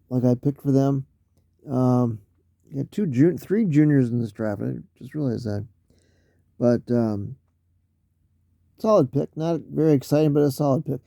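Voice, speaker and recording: A man losing his voice, talking casually through a solo mock draft, halting with fillers and often clearing his throat. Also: medium pitch, mellow, dry recording, lo-fi sound.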